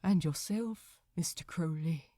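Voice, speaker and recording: A woman voicing a grief-broken, courteous gentleman shipbuilder in an audiobook, speaking low and haltingly with a trembling tone. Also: dry recording, studio quality. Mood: tender farewell. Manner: quiet, halting, formal.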